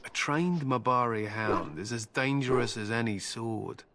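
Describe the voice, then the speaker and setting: An actor playing a grim, proud warrior and hound hunter in a video game, speaking in stern, formal, solemn phrases. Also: male voice, medium pitch, expressive, unhurried, natural voice. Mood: stern pride. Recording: game dialogue over loud background noise.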